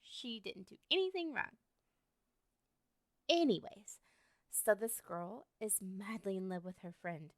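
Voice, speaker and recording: A woman's voice: medium pitch, big pitch swings, unhurried, long pauses, dry recording, clean studio sound. A woman playing a lovestruck, obsessive young woman in an ASMR roleplay, talking to the listener in a coy, rambling, hesitant way. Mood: pointed, defensive.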